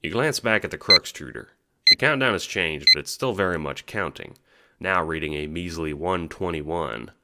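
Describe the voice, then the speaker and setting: A man's voice: low voice, normal speed, very noisy. A man reading scripted second-person narration for an audio drama.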